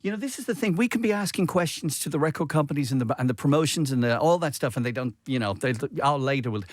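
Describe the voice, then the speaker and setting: Guy radio host, bit higher pitched, chatty effusive interview style, really dry close mic. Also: lively intonation, fast, dry recording. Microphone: close studio radio microphone.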